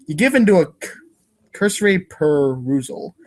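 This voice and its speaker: A man's voice: a little high, big pitch swings, normal speed. A man giving a wry, theatrical dramatic reading as a podcast narrator, now and then tripping over long words.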